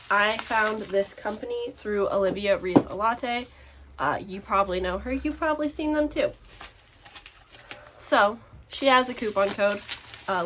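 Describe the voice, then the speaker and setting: A woman chatting casually to her vlog audience in an expressive, giggly, exclaiming way, as a book vlogger showing her purchases. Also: mid-range voice. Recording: clear background noise.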